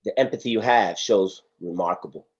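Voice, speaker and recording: Stern, philosophical guard, read by a man, low and husky, solemn table-read delivery, dull call sound. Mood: solemn, admiring. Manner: preachy, solemn, deliberate. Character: stern, philosophical male prison guard, grudgingly admiring. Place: video call. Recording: dull, muffled video-call audio.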